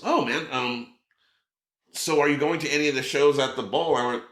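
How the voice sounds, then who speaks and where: A middle-aged man telling a story in casual chat, acting out quotes with a raised voice. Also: moderate pace. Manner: animated, acts out quotes with raised pitch. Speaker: podcast guest. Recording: roomy echo.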